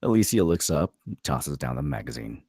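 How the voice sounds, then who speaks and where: A man's voice: a bass voice, very animated, normal speed, creaky, mellow, husky, no room sound, clean studio sound. A man narrating stage directions as scripted narration at a table read.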